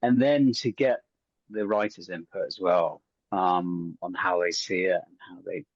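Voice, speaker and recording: A man answering haltingly and at length as a guest, over muffled online call audio. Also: low voice, fairly even intonation, dry recording. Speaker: actor, interview guest. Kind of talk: casual interview answer.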